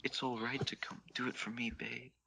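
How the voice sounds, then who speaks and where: A man's voice: medium pitch, level tone, normal speed, gravelly, heavy background noise, voice pushed up, natural voice. A man voicing a teasing, dominant young male lover in a fanfiction reading, taunting and coaxing.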